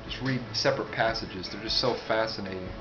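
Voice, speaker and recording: Music plays clearly behind a man with a fairly low voice. He talks casually and without a script, in a halting, rambling way with asides that trail off. The recording is a little dull and lo-fi. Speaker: book reviewer, vlogger. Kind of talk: casual, unscripted talk to camera.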